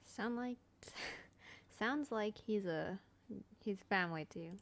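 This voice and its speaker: Casual woman gamer, mid-pitched. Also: takes it slowly. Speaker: gamer reacting to gameplay. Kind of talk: casual reaction commentary.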